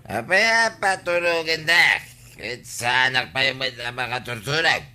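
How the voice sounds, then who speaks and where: A man's voice: mid-range voice, lively intonation, measured pace, bright, forceful tone. A man playing a stubborn drunken villager in an Ilocano comedy radio drama, slurring and belligerent. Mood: drunken defiance.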